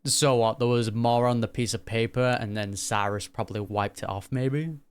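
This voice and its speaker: A man's voice: medium pitch, fairly even intonation, moderate pace, clean tone. A man giving casual, slangy gaming reaction commentary in a joking, conversational way. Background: game music.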